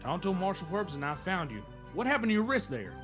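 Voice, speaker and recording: A man playing a steady, commanding masked cowboy hero in an old-time western radio drama. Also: high voice, fast talker, music in the background. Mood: calm, probing.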